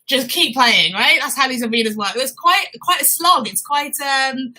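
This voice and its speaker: A woman with a bright, fairly high voice hosts live chess commentary. She talks casually and explains as she goes, often checking in with questions. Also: animated, moderate pace.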